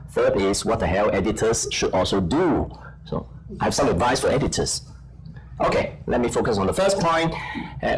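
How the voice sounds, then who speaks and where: A middle-aged man whose first language is Chinese talks on a conference panel in a slightly low voice. He is brisk and self-deprecating, full of "uh" and "you know", with audience murmur around him in the hall. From China. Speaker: conference panelist, journal editor.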